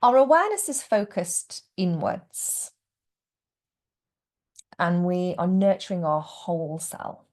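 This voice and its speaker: A woman guiding a relaxation meditation as a yoga nidra teacher, speaking gently and without hurry, with phrases that trail into a hush. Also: on the low side, big pitch swings. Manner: gentle, unhurried, hushed phrase endings. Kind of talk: guided meditation.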